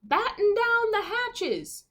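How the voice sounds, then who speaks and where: A woman reading a storybook and voicing a tiny, bossy, exasperated male pirate captain who yells his commands. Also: extremely high pitch, big pitch swings, slow pace, voice pushed up, full character voice. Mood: bossy shouting. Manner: yelling commands, drawn out.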